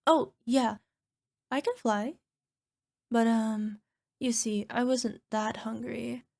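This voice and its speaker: A woman's voice: fairly high pitch, steady tone, slow pace, creaky, darkish tone. A woman playing a small, sheepish cyclops monster girlfriend in an ASMR roleplay, wheedling and making cutesy, defensive excuses. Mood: evasive, sheepish. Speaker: voice actor.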